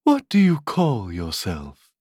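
A woman voicing a gentle young storybook fawn with a soft, sweet voice. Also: very deep voice, wildly swooping pitch, takes it slowly, husky, dry recording, studio quality, voice pushed up, exaggerated voice.

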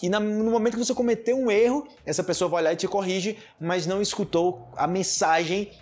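A man with a Brazilian Portuguese accent speaks as an informal language teacher. His voice is husky rather than smooth. He explains conversationally, leaning on repetition to stress his points. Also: high-pitched, a little fast, a little mellow. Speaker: Portuguese teacher and podcast host. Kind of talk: informal explanatory talk to learners.

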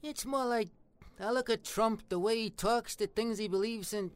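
A man's voice: high-pitched, moderate pace, clear, resonant tone, close to an everyday voice. An actor playing a foul-mouthed, bigoted Italian-American tough guy with a New York accent, brash and streetwise in a comic dialogue. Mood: earnest, brash. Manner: brash, profane, streetwise slangy talk.

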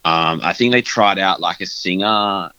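Laid-back young man, bright voice. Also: low-pitched, measured pace, clean tone, no echo, lo-fi sound, soft background music. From Australia. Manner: loose and rambling, many fillers and "like". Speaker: guest band vocalist. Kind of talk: casual interview chat.

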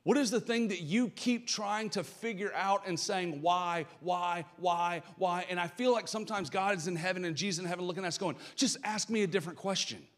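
A man with a Southern American accent, a pastor giving a live church sermon, earnest and building to loud, passionate emphasis. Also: high voice, fairly quick, hardly any pauses. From Southern United States.

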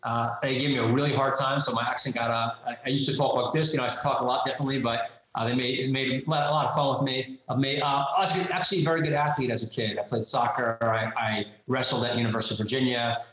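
A man's voice: medium pitch, fairly even intonation, quick pace, hardly any pauses, a bit bright. A man in his forties with a faint New York accent, a guest entrepreneur answering interview questions in a chatty, candid way. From New York, USA. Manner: chatty, candid, laughs easily. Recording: very echoey room.

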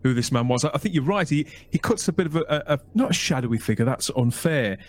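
A man hosting a podcast interview, measured and searching for words with stammered restarts. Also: a little high, expressive. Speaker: podcast host.

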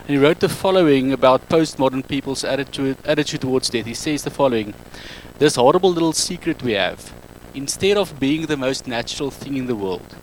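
A man in his thirties speaking English with an Afrikaans accent, giving a public talk on a handheld mic in a halting, self-correcting way. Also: mid-range voice, lively intonation, slight background noise, poor audio. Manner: halting, self-correcting non-native English with frequent ums. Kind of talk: public talk to a live audience.

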